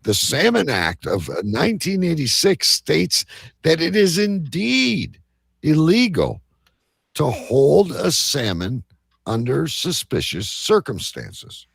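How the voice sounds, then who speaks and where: A man's voice: a little high, big pitch swings, takes it slowly, creaky, slightly rough, dry recording, studio quality. A man hosting a live quiz show, announcing to the audience like a showman. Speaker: game show host.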